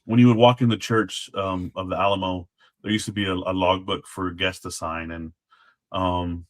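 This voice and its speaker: A man's voice: sits low, level tone, normal speed. A man from Texas chatting as a guest, polite and folksy, often repeating words as he goes. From Texas, United States. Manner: polite, says sir, folksy y'all. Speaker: guest, former Alamo guard. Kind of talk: casual interview chat.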